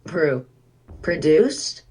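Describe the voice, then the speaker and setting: A man playing an earnest, shaken young soldier in a fan-dubbed video game, speaking haltingly and in disbelief. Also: a little high, sing-song, darkish tone, heavily acted. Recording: echoey long reverb, music behind.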